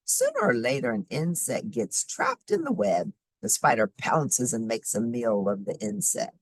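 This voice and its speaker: Woman doing a kids science read-aloud, slow and husky, pitch moves around a lot. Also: no room sound. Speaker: host reading children's science books aloud. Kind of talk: read-aloud of a children's nonfiction book.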